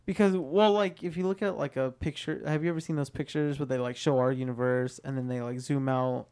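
Man, podcast co-host, casual chat, laughs often, smooth resonant voice. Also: mid-range voice, steady tone, fairly quick, darkish tone. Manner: easygoing, laughs often, hesitant.

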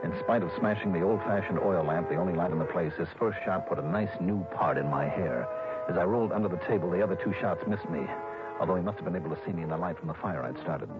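Male actor as tough detective, low voice, clipped pressing delivery, vintage radio drama, dull recording. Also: quick pace, over loud music, natural voice. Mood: tense, wry. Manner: clipped, pressing, hard-boiled. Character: tough, insistent male insurance investigator. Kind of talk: 1960s radio drama acting and narration.

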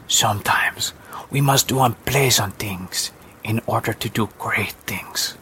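A man voicing a soft-spoken, resolute servant woman in a slow, breathy whisper, with quiet menace. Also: slight background noise. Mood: gentle, hushed. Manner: slow, gentle, low-toned.

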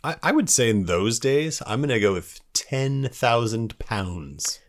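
A man's voice: medium pitch, sing-song, unhurried. A man speaking as a podcast guest, chipping in with casual, joking, slangy banter.

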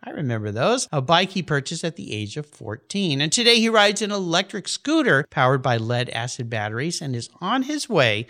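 A man's voice: on the high side, moderate pace, hardly any pauses. A man hosting a car podcast, enthusiastic in casual interview talk and ad reads. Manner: enthusiastic, animated. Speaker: podcast host.

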